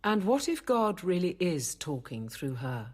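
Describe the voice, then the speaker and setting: A woman's voice: on the low side, sing-song, a little slow, breathy edge, exaggerated voice. A woman playing a guarded, learned convent apothecary nun in a radio drama, with precise, formal delivery. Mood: probing. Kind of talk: radio drama acting and narration.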